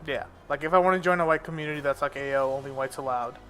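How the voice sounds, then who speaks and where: Young man chatting bluntly, higher-pitched voice. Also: quick pace, a bit bright, a little hiss or hum. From born in America, grew up in India. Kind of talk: casual chat. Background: music.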